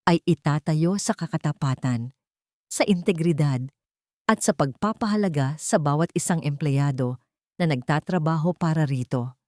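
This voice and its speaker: A woman with a Filipino accent voicing a calm, dignified wife and mother in a story, speaking clearly and firmly. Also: low-pitched, normal speed, dry recording, studio quality, close to an everyday voice. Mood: firm, resolute.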